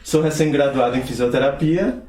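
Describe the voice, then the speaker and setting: A young man from Pará, Brazil, speaking earnestly as an interview guest with measured hesitations. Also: mid-range voice, a little mellow, reverberant. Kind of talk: conversational interview.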